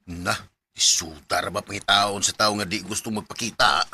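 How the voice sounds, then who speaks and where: A man's voice: lowish voice, steady tone, moderate pace, bright, forceful tone, husky, heavily acted. A Filipino man with a Cebuano accent acting a teasing, pushy best buddy who banters and nags in a Bisaya radio drama. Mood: skeptical.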